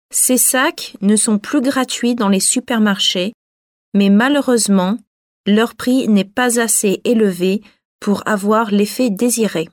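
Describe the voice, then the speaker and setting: A young woman student in a recorded listening exercise, acted by a woman. She speaks at an unhurried pace in a plain, mid-pitched voice. The sound is dry and close-miked. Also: dry recording, natural voice. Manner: slow, even reading. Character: young woman student volunteering at a beach cleanup. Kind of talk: scripted exam listening dialogue.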